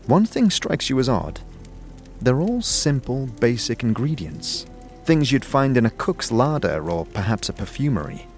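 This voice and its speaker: A man's voice: medium pitch, very animated, unhurried, soft background music. A man narrating a scripted second-person story for an audio drama.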